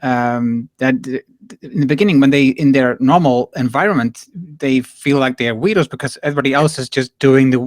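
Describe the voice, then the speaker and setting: A man hosts a podcast interview, speaking casually with hesitations and fillers. His voice is middle-pitched, and the recording is dry and close, with little room sound. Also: normal speed, dry recording. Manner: conversational, hesitant with fillers, animated when quoting others. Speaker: podcast host.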